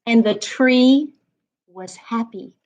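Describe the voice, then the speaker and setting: Slow-paced woman reading a storybook. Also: a little high, darkish tone. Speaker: reading coach, storytime reader. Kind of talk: read-aloud children's storybook narration.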